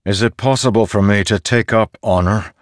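A man's voice: fairly low pitch, moderate pace, no room sound, studio quality, pitched above the performer's own voice, natural voice. A man playing a commanding but weary general in a fantasy audiobook, insistent, earnest and stubbornly defiant. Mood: pressing, controlled. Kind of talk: dramatic fantasy audiobook character.

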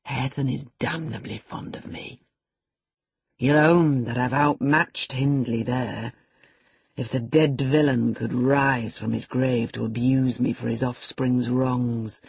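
A bitter, gloating master of the house speaks low and slow, as a man voices him. The voice is strongly husky and breathy. It is recorded very dry, close to the mic. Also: a little mellow, no echo, full character voice. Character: brooding scornful middle-aged male master.

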